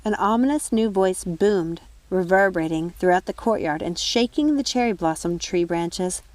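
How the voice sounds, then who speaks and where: A woman's voice: on the low side, animated, moderate pace, darkish tone, no room sound. Woman narrating a storybook, dry sound. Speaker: audiobook reader.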